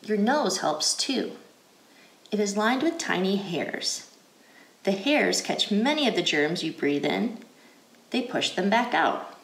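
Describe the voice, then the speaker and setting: An American woman reads aloud for children in a guided, teacherly style. Her pitch moves up and down in a lively way while she keeps a slow, deliberate pace. The recording has a roomy indoor echo. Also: a little hiss or hum. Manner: deliberate, clearly phrased, asks questions. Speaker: educator reading a picture book aloud.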